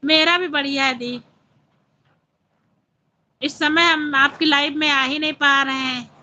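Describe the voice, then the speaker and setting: A woman's voice: high voice, steady tone, measured pace, pushed and bright, dull audio, slight background noise. A woman hosting a casual live stream, chatting with viewers and reading out their comments.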